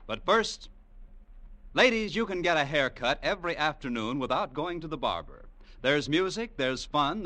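A man working as a network announcer, reading a promotional spot in a bright, upbeat way. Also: fairly high pitch. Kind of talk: 1950s radio commercial announcing.

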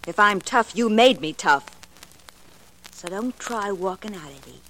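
A woman's voice: mid-range voice, animated, a little slow, fairly bright tone, rough recording. Slangy, insistent showgirl dancer. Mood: cold, threatening. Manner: plain-spoken, slangy, insistent. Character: insecure young female dancer turned tough, menacing partner. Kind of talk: old-time radio crime drama acting.

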